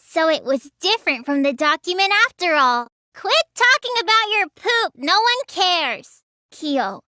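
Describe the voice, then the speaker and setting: High, childlike, sluggish girl magician. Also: a bit bright, dry recording, clean studio sound, exaggerated voice. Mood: accusing. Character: petite sluggish teenage girl magician, sullen, stubborn. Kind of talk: English anime game voice acting.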